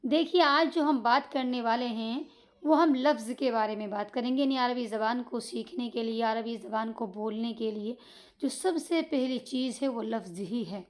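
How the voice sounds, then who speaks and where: Patient teacher: a high-pitched woman taking children through grammar step by step. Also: normal speed. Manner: patient, repeats points with checking questions. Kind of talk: online grammar lesson for children.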